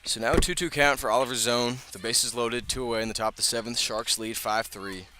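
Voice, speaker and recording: A man's voice: medium pitch, brisk, bright, forceful tone, slight background noise. A man doing live radio play-by-play of a baseball game as the announcer. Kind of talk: live radio sports commentary. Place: outdoor ballpark broadcast position.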